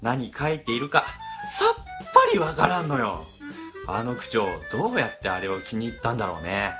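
A man's voice: mid-range voice, wildly swooping pitch, moderate pace, music in the background. A man playing a weary, exasperated middle-aged office boss in a radio comedy drama. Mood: weary, grumbling.

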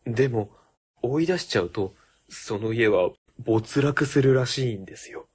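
A man's voice: mid-range voice, measured pace, darkish tone, husky. A man playing an earnest, anxious junior city official in a comedic radio drama, speaking formally. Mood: earnest worry.

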